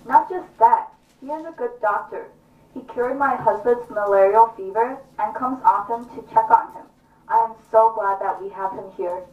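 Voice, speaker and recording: A woman's voice: fairly high pitch, moderate pace, close to an everyday voice. A woman playing a friendly, earnest character in a puppet show, speaking politely. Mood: grateful. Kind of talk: scripted puppet show acting. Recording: echoey distant dull stage recording with music.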